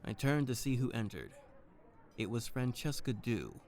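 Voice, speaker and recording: A man's voice: mid-range voice, sing-song, moderate pace, noisy background. A man acting an earnest private detective, narrating in brooding noir style for an audio drama. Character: earnest, wounded, soft-hearted male private detective.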